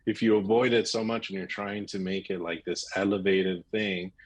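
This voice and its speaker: A man with a very deep voice and an American accent talks in a casual interview. He is a film director answering in a conversational way. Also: flat delivery, moderate pace. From Miami, United States.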